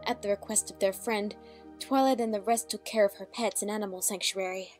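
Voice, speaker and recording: Give me a woman playing a solemn heroine telling her tale, mid-pitched and wistful, with music clearly behind. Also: steady tone, fairly quick, darkish tone, close to an everyday voice. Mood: subdued. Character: solemn, wistful pony heroine recounting her friends' lives. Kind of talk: scripted story narration.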